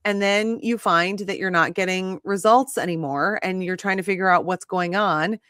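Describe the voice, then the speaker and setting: A woman's voice: fairly low pitch, moderate pace, fairly bright tone, smooth, no room sound, studio quality. A woman hosting a live skincare stream, chatty and enthusiastic. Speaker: host.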